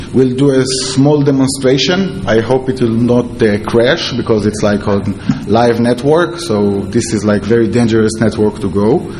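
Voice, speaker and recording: A man with an Israeli accent giving a conference presentation as a security researcher, in halting, non-fluent English. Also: mid-range voice, a little mellow, slight background noise. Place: conference talk before an audience.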